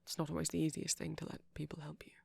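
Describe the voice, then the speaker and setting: A performer playing a shy, caring vampire boyfriend in an intimate ASMR roleplay, speaking softly and breathily with hesitant pauses. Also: mid-range voice, completely flat delivery, dry recording. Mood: tender, hesitant. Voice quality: breathy, often near whisper. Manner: soft-spoken, breathy, halting with gentle pauses.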